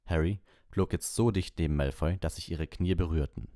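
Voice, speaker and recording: A man's voice: low-pitched, moderate pace, mellow, no echo. A man who hosts an audiobook livestream, reading and talking close to the microphone. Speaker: audiobook reader and livestream host. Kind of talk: story narration and casual livestream chat.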